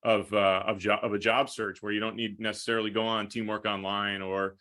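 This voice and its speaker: A man's voice: on the low side, little pitch movement, brisk, sharp tone. A man who works as a sports broadcaster, answering casually in an interview and thinking aloud in long, winding answers. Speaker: hockey play-by-play broadcaster being interviewed.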